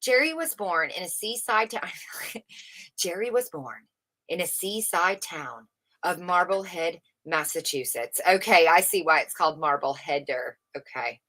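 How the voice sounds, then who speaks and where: A woman's voice: fairly low pitch, expressive, measured pace, sharp tone. A woman livestream host reading a book aloud unrehearsed, informally, stumbling over words and correcting herself as she adds casual commentary.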